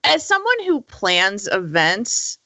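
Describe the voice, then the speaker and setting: A woman with a bright, forceful voice at a medium pitch speaks as a podcast panelist. Her delivery is casual and emphatic, captured close and dry. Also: wildly swooping pitch, takes it slowly, no room sound. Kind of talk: casual opinionated discussion.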